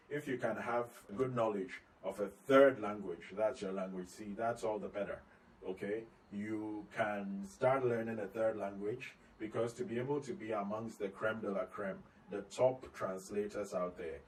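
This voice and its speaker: A man, a company head, talking through tips on slides in a relaxed video lesson, repeating key points as he explains. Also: fairly low pitch. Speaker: company CEO giving tips. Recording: slightly distant mic, faint ambient noise.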